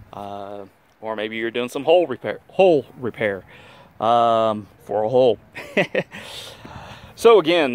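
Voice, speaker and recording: A man's voice: mid-range voice, smooth. Laid-back man rambling with many fillers. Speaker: vlogger showing a DIY kayak rack. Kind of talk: casual how-to vlog. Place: outdoors on a grassy yard.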